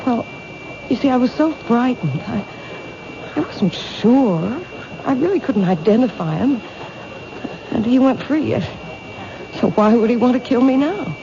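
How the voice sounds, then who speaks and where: An actress playing a frightened, doubting young woman in danger, speaking anxiously and haltingly in a 1970s radio drama. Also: on the high side, expressive, creaky. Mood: unsure, anxious. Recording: dull, noisy 1970s radio recording.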